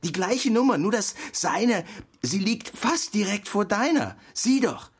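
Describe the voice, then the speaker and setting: Animated, husky storytelling husband. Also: male voice, sits high, measured pace, exaggerated voice. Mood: animated, insistent. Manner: theatrical anecdote telling with quoted dialogue. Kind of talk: radio play storytelling.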